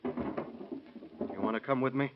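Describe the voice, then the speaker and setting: A man's voice: medium pitch, takes it slowly, background noise, lightly acted. An actor playing an uneasy, grimly blunt man with a terse, guarded manner in 1930s radio drama. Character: wife's male companion, uneasy but grimly blunt conspirator. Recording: dull vintage radio recording.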